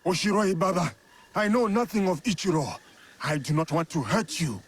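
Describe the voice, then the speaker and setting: An actor playing a stoic, honourable warrior of feudal Japan in a video game, speaking in measured, formal phrases. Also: male voice, high voice, lively intonation, slightly rough. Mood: gentle, calming. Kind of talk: video game cutscene acting.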